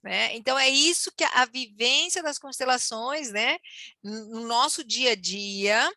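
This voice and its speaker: A woman's voice: fairly high pitch, unhurried, sharp tone, no echo. A woman, hosting the conversation and explaining ideas in a teacherly way, often checking in with "né?". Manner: teacherly, frequent "né?" tags.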